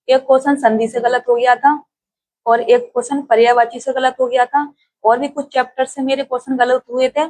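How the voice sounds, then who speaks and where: A young woman from India speaks to her viewers in a relaxed, unscripted vlog. Her voice sits a little high, and she talks in a plain, steady way with frequent small hesitations. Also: poor audio. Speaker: exam-prep YouTuber. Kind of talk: casual spoken vlog.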